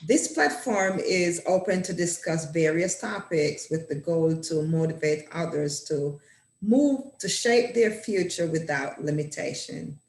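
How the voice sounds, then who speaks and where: A woman's voice: on the low side, measured pace, a little mellow. A woman hosting a talk show, giving formal, scripted introductions. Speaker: host. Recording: echoey room.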